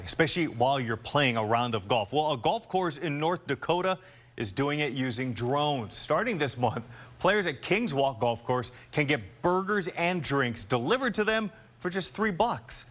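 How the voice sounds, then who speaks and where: A young man reporting the news on local television, with a crisp, measured newscast delivery. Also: mid-range voice, expressive, a bit bright.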